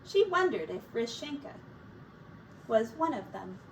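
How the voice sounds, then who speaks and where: A woman teacher reading a picture book aloud to young children in an expressive, deliberate storytelling manner. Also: fairly high pitch. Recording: distant mic, faint background noise.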